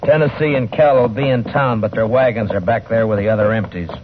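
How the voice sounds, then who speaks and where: An American actor playing a stern, world-weary western marshal in terse, deliberate 1950s radio drama style. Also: male voice, fairly low pitch, noisy background, natural voice. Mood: grave, steady. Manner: terse, hard-bitten, deliberate. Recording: tinny old radio broadcast with music.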